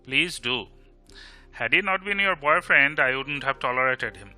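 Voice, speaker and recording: A man's voice: mid-range voice, normal speed, sharp tone. A man acting a grumbling, teasing young man in an amateur audio drama, with an Indian Bengali accent and a sarcastic, exasperated manner. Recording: music behind the voice.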